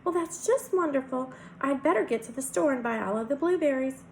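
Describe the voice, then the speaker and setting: A woman voicing a kindly, soft-hearted cooking teacher with a soft Southern American drawl and a gushing manner. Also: high voice, expressive, a little fast, gravelly, slight background noise, pitched above the performer's own voice. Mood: comforting.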